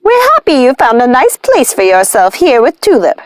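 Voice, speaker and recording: A woman's voice: sits high, huge pitch swings, normal speed, no room sound, studio quality, voice pushed up. A woman voicing a haughty, gushing witch in a theatrical, exclaiming manner for a storybook. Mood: condescending.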